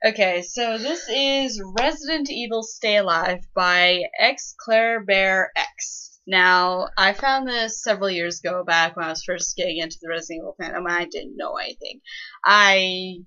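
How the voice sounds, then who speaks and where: A woman's voice: mid-range voice, moderate pace, sharp tone. A young adult woman co-hosting with casual, sardonic commentary, recorded on a lo-fi microphone. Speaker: co-host commenting on fan fiction. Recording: dull lo-fi microphone.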